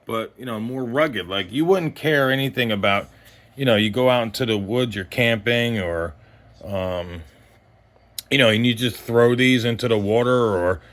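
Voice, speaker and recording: A man's voice: medium pitch, normal speed, fairly bright tone. A man in his forties giving a casual, unscripted gear review as host, in a rambling conversational way that trails off mid-thought. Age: 40s.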